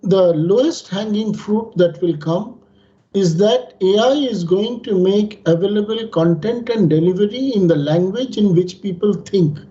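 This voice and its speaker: A man who is a native Bengali speaker, making structured points on a panel in a measured, emphatic lecturing way. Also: sits high, mellow. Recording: dull lo-fi audio.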